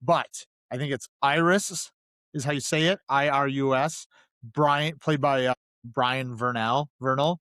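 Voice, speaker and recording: A man's voice: medium pitch, fairly even intonation, measured pace, fairly bright tone, smooth, dry recording. A man co-hosting a casual film discussion podcast, talking emphatically and repeating phrases for stress. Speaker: podcast co-host.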